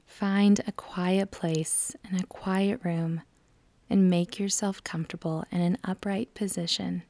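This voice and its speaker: A woman guides a meditation in a soft, breathy near-whisper. Her delivery is very slow and calm, with long pauses between phrases. The tone stays level and mellow, barely moving in pitch. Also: dry recording.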